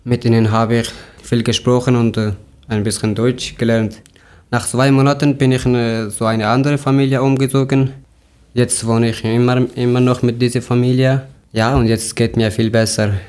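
A man's voice: medium pitch, flat delivery, normal speed, a little mellow, smooth. A young man from Afghanistan hosting a podcast interview, talking hesitantly with many fillers and restarts. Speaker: podcast host.

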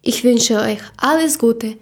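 A woman's voice: a little high, slow, breathy edge. A woman from Ukraine, hosting a podcast and carefully reading her lines from a script. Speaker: podcast host.

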